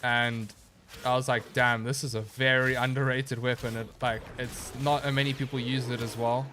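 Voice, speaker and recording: A man streaming a video game live, chatting casually and answering his chat in a relaxed, rambling way, sounding a little stuffy and nasal. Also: medium pitch, normal speed. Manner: laid-back, rambling, reads chat aloud and answers.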